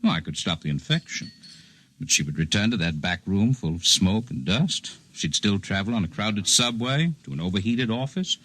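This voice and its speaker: An actor playing a calm, erudite alien healer in a 1950s radio drama, speaking in formal, precise, gently condescending phrases. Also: male voice, lowish voice, moderate pace, rough recording. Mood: lecturing. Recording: 1950s radio broadcast recording.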